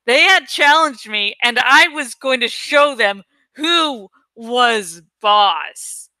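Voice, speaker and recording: A woman reads aloud in an expressive, informal way, like a host sharing a favorite story. Her voice is fairly high, bright and very clear, and she takes her time. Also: takes it slowly, no echo, low-quality recording. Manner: informal, self-correcting. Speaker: amateur audiobook reader and channel host. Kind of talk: expressive story narration with casual asides.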